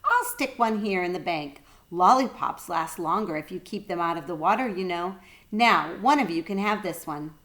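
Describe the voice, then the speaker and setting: A woman voicing a polite, quick-witted young boy in a children's storybook, courteous and persuasive. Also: fairly low pitch, expressive, a bit bright. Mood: reassuring.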